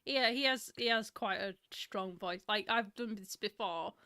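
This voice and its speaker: Bright-voiced woman, quick and teasing. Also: mid-range voice. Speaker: co-host, host's wife. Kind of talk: casual chat.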